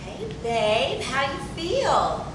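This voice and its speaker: A woman's voice: sing-song, very slow, exaggerated voice. A woman acting a working-class wife and mother, affectionate yet firm, in a naturalistic drama table reading. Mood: affectionate, encouraging. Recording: very echoey, distant, rumbling noise.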